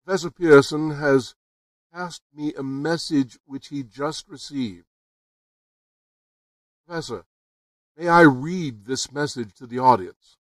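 A man's voice: a little high, slow, long pauses, no echo, recorded from a distance. A man acts out an earnest radio news reporter in an old-time radio drama. He announces formally, as if live on location. The sound is dry and a little away from the microphone. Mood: formal, announcing. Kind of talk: 1930s radio drama acting, live broadcast commentary.

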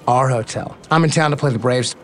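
A man's voice: mid-range voice, a little fast, fairly bright tone, close to an everyday voice. An actor playing an easygoing, cocky, slightly dim male athlete in a romantic comedy audio drama, casual and nonchalant. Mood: breezy, flirting.

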